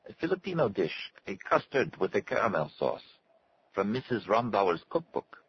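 A man acts out an aloof household cook in an audiobook story, pitched up from a deep register. He is curt, formal and easily irritated, on a slightly dull recording. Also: sing-song, normal speed, slightly rough, heavily acted. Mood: matter-of-fact. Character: aloof, cross alien native servant cook.

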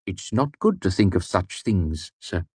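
A man's voice: sits low, sing-song, measured pace, a little mellow, slightly rough, dry recording, exaggerated voice. A man voicing a portly, nervous male manservant whose anxious words tumble out over each other. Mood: flustered.

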